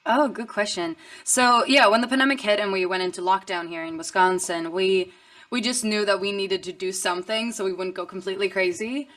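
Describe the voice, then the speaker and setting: A young woman from Sweden speaks English, talking about her music in a relaxed, upbeat way. Her voice sits in an ordinary middle range. She laughs easily between answers. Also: mid-range voice. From Stockholm, Sweden. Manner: upbeat, chatty, quick laughter. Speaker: musician guest. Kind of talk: casual interview chat.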